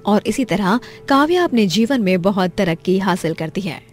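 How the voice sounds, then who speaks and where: A woman's voice: mid-range voice, animated, moderate pace, soft background music. A woman reading a scripted story as its narrator.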